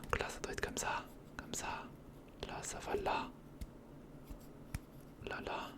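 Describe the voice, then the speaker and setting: A man speaks in a breathy whisper close to the microphone, murmuring casual hands-on ASMR commentary in fragments, as if thinking aloud. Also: slow pace. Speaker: ASMR video creator. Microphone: close recorder microphone.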